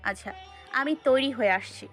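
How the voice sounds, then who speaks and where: A woman's voice: high voice, moderate pace, fairly bright tone. A woman playing a hurt, defiant young woman in love in an audio story. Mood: subdued. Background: background music.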